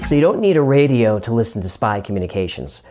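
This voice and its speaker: A man's voice: on the low side, big pitch swings, quick pace, warm tone, soft background music. A man hosting a show and narrating in a scripted explainer style.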